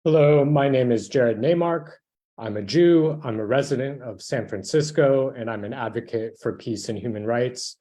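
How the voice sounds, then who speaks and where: A man's voice: mid-range voice, moderate pace, warm tone. A man calling in to give an earnest, emphatic public comment urging action. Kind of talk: prepared public comment, advocacy.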